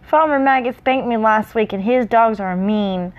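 A woman's voice: a little high, normal speed, dull audio, lightly acted. A woman voicing an innocent, sensitive young hobbit boy who frightens easily, in storybook dialogue. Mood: timid, sheepish. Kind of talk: read-aloud storybook dialogue.

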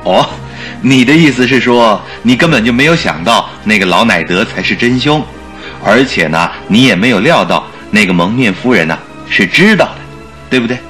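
Genial detective's companion, bright voice, swinging intonation, good-humoured, radio drama. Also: male voice, mid-range voice, animated, normal speed, faint music behind. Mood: teasing. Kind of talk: Mandarin radio drama acting.

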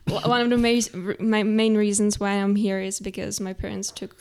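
Hesitant young woman, smooth voice. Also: medium pitch, level tone, normal speed, a little mellow. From Ukraine. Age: 20s. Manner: hesitant, many fillers, laughs often. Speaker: podcast guest. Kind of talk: casual interview chat.

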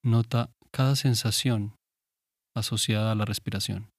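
Low-voiced man guiding a meditation. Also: dry recording, studio quality. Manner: calm, gentle, unhurried with pauses. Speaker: meditation guide. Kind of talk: guided meditation.

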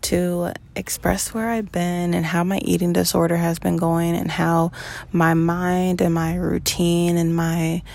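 Early-twenties woman, podcast host, lowish raspy voice, earnest personal monologue. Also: darkish tone. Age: 20s. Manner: slow, earnest, with pauses and fillers.

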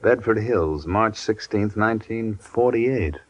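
An actor playing a suspicious, scheming American crook, hard-bitten and accusing, in 1940s radio style. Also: male voice, on the low side, measured pace, muffled recording. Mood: grimly suspicious. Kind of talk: 1940s radio crime drama acting.

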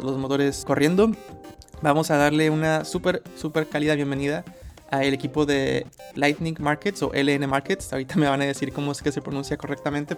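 Flat-toned male host. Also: fairly high pitch. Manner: warm-up talk with repeated words. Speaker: podcast host. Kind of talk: casual interview hosting.

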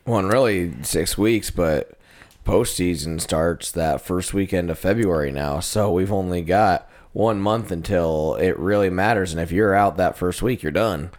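A man's voice: low voice, a little slow. A man, a wrestling coach, chatting casually with a voice gone scratchy after coaching a duel. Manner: relaxed, rambling with fillers. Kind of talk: casual chat.

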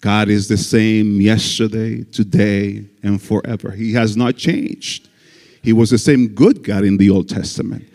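Pastor guy from the dominican republic, kinda low voice, jokey preaching, big church echo. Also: steady tone, a little slow, darkish tone. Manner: folksy preaching with jokes and frequent amens. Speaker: guest pastor. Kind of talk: sermon. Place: church sanctuary. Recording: church hall reverb.

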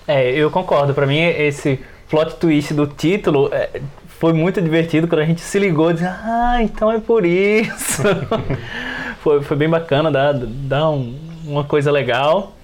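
A man's voice: on the high side, measured pace. A man with a Brazilian accent chatting casually as a podcast panelist, acting out reactions and often ending on tag questions. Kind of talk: casual chat.

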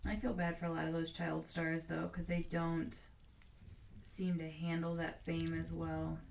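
A woman with a lowish, gravelly voice chats casually and drifts through long, meandering stories. She sits some distance from the mic, with a light hiss of noise behind her. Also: completely flat delivery, unhurried, a little mellow. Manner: chatty, meandering storyteller. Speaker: guest, host's sister. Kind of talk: casual chat.

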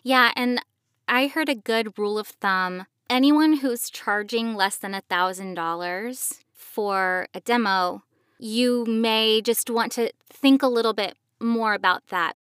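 A woman's voice: mid-range voice, a bit bright, clear, resonant tone, no echo, studio quality. A woman giving casual, reassuring, explanatory advice as a podcast host. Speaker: podcast host, professional voice actor.

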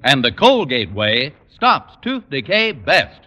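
A man's voice: huge pitch swings, slow pace, loud music behind the voice. Emphatic 1940s radio ad announcer man. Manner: emphatic, sales pitch. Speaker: commercial announcer. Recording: dull reverberant old radio.

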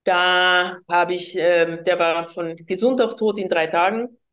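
Woman from colombia, slightly low pitch, hesitant with fillers, interview guest. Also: fairly even intonation, a little slow, a bit bright. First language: Spanish. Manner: hesitant, many fillers and restarts. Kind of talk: personal storytelling in an interview.

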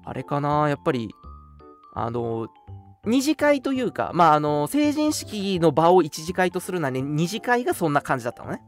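A young man co-hosting a casual radio chat, telling stories in an animated way and acting out short quotes. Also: on the high side, normal speed. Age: young adult. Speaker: radio show co-host. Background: background music.

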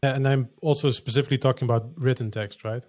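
Dutch guy hosting a chat, thinks out loud, dry close sound. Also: medium pitch, fairly even intonation, fast, no echo. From Netherlands. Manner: thoughtful, searching for words. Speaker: podcast host. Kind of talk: casual interview chat.

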